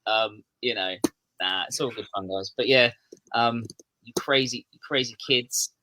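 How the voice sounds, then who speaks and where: A man's voice: mid-range voice, unhurried, noisy background. A man from southeast England with an English accent, a podcast guest chatting casually in a rambling, self-deprecating way that keeps restarting sentences. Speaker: podcast guest, metal musician.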